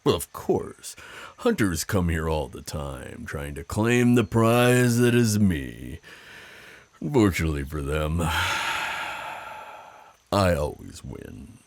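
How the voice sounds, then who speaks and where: An extremely deep, husky werewolf, acted by a man for an ASMR roleplay. He is burly and territorial, drawling his teasing threats slowly and possessively. The sound is close and dry. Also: sing-song, no echo, exaggerated voice. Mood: smug, proud. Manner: slow, drawling, taunting and possessive.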